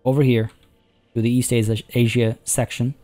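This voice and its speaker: A man with a hoarse, tiring voice, chatting casually as he plays a zoo-building game and rambling through his thoughts. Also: medium pitch, level tone, normal speed, dark tone, faint music behind. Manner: rambling, chatty, many restarts and fillers. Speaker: let's play host. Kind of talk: casual gameplay commentary.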